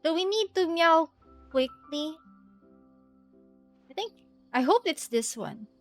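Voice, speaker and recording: A woman's voice: extremely high pitch, expressive, slow, soft background music. A woman streamer thinking aloud in a casual, giggly way as she plays a video game live.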